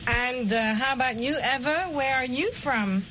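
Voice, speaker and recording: A woman hosting an English lesson show, explaining simple words deliberately and chatting with guests. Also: high-pitched, a bit bright, slight background noise, low-quality recording. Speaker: host and English teacher. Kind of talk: slow, clearly explained language lesson chat.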